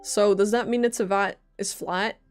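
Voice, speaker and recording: Female streamer, medium-pitched, chatty live gameplay commentary, thinking out loud, soft game music underneath. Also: fairly even intonation, moderate pace. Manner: musing aloud, informal, reactive. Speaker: game livestreamer.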